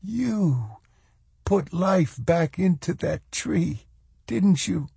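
A man voicing an awestruck, tearful woman who struggles for words in an audiobook story, speaking haltingly. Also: high voice, animated, gravelly, warm tone, husky, dry recording, voice pushed up, full character voice.

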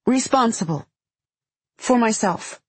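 A woman's voice: fairly high pitch, expressive, husky, no echo, voice pushed up, exaggerated voice. A woman voicing a timid yet defiant young girl in a small, hesitant voice for an audiobook. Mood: timid, daring. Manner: hesitant, halting, groping for words. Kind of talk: audiobook character voice.

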